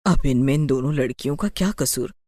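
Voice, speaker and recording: A woman's voice: very deep voice, brisk, warm tone, dry recording, voice pushed down. A woman voicing a firm, scolding older village mother in a moral story. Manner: chiding, firm. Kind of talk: dramatised Hindi moral story acting.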